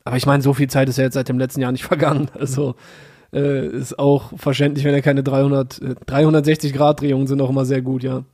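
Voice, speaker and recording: A man's voice: mid-range voice, level tone, quick pace, mellow. A young man in casual chat, hesitant and searching for words. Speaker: podcast co-host.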